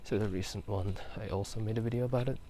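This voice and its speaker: A man whispering a casual, rambling ASMR chat in a halting, unhurried way while out walking through a town at night. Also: on the low side, fairly even intonation, warm tone, slight background noise. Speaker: ASMR video host.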